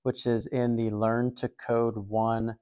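Casting note: young adult man, mid-pitched, easygoing coding walkthrough host who thinks aloud with ums and restarts. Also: flat delivery, slow pace, warm tone. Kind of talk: casual screen-recorded walkthrough.

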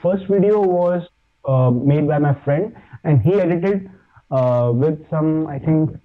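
Podcast guest: Indian-accented man, Hindi native, halting delivery, fairly high voice, phone-thin sound. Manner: halting, many fillers and restarts. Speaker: podcast guest, fitness YouTuber. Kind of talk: casual chat. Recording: tinny phone-like line.

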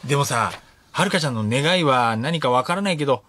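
A man playing a friendly, earnest young senior in a romantic radio drama, speaking gently. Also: medium pitch, expressive, fairly quick, no echo. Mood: tender.

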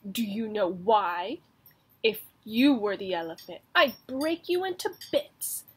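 A woman's voice: medium pitch, animated, a little slow, fairly bright tone, breathy edge. A woman voicing a boastful, threatening little male mouse in a children's storybook, with bragging, emphatic delivery. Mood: cocky, challenging. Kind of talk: children's storybook read-aloud.

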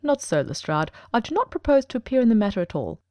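A woman performs a self-assured detective genius in an audiobook. He talks fast and precisely in a mellow tone, formal and commanding. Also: mid-range voice, sing-song, no room sound. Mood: assured, dry. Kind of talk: dramatic audiobook reading.

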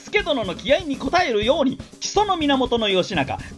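A woman acting a brash, talkative samurai scout in a radio drama, reeling off war news in rough, theatrical storytelling patter. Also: sits high, sing-song, moderate pace, soft background music. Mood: excited, boastful.

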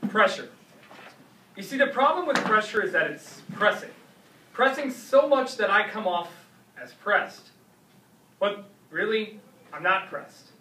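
High, bright-voiced man performing slam poetry. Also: animated, measured pace, pauses often. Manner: intense, emphatic, rhythmic delivery with repeated words. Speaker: slam poet. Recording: roomy echo, distant mic, faint noise.

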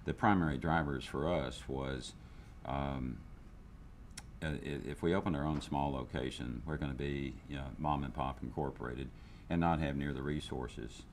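Very deep-voiced older business owner. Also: male voice, slight background noise. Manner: candid, measured, many restarts and fillers. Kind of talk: interview testimonial. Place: indoor room, seated interview. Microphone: lapel.

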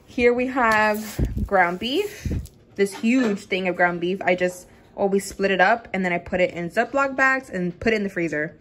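A woman's voice: medium pitch, moderate pace, a bit bright. A young adult woman chatting casually and enthusiastically to viewers as a vlogger in a home kitchen. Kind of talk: casual grocery haul vlog chat. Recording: clear background noise and room ambience.

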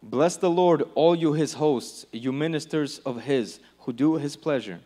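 A man in his thirties renders a preacher's words sentence by sentence on a church stage, holding a microphone. His voice sits slightly high for a man. He keeps the delivery plain and conversational. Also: unhurried, warm tone. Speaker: interpreter. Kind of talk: live sermon interpretation. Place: large church stage with lighting. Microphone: handheld microphone.

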